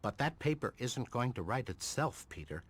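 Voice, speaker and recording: An actor playing a stern but caring middle-aged science professor in a video game. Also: male voice, medium pitch, sing-song, normal speed, a bit bright, slightly rough. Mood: exasperated. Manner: stern, admonishing.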